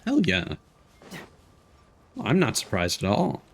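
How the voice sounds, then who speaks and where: A man's voice: on the low side, huge pitch swings, slow, vocal fry. A man chatting casually as he hosts a game livestream, rambling and thinking aloud. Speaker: streamer and host. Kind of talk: casual livestream gameplay chat.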